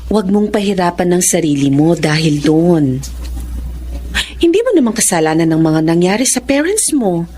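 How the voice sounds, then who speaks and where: An expressive, caring lady psychiatrist. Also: lowish voice, slight background noise. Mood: calm, reassuring. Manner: measured, reassuring, mixes in English. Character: caring, composed woman psychiatrist, wife and mother. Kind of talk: Filipino radio drama acting.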